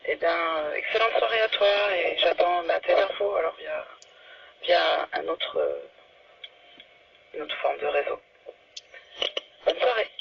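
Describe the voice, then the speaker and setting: Over a two-way radio, a man chats in a halting, courteous and slightly formal way. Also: a little high, creaky. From France. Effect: two-way radio transmission. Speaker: novice radio operator. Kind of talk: casual two-way radio chat. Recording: very noisy, muffled two-way radio transmission.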